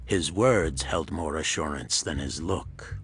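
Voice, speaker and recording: A man giving scripted narration of a pulp thriller audiobook in a husky voice. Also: a bass voice, slow, slight background noise.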